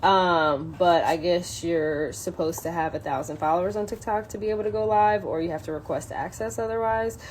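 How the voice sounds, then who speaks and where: A woman on a casual solo livestream, halting and thinking aloud as she chats and reads from a book. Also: fairly low pitch. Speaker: social media live streamer. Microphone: phone propped up in a window. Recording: clear background noise, ambient sound.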